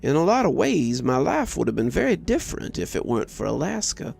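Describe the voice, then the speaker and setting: A man reading a scripted first-person story aloud as narrator, in a measured, reflective way. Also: fairly high pitch, sing-song, creaky, darkish tone, breathy edge, faint music behind.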